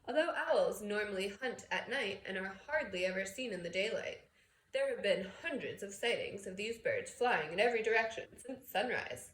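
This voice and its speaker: A woman playing an upbeat male television newsreader in a storybook, with a projected, measured broadcast delivery. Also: medium pitch, expressive, a bit bright, close to an everyday voice. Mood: brisk, amused. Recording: roomy echo with faint background noise.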